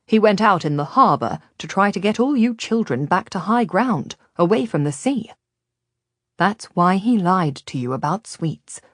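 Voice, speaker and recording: A woman's voice: medium pitch, expressive, moderate pace, a bit bright, no room sound, studio quality. A woman reading a storybook, voicing a shaken young man who blames himself. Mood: shaky. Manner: self-reproaching, shaky.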